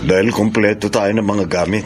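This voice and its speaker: A man's voice: fairly low pitch, level tone, brisk, natural voice. An actor playing a thrifty, patient seaman father in a Filipino radio drama. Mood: tense. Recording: noisy radio drama audio.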